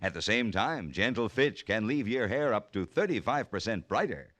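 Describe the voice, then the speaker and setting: A man's voice: fairly low pitch, very animated, normal speed, a bit bright, dry recording. A man with an American accent reading scripted copy as a 1950s radio announcer.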